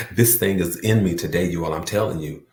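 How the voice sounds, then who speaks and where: A man's voice: sits low, a little mellow, roomy sound. A man giving a motivational talk with a repetitive, preacher-like cadence. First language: not French. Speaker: motivational speaker.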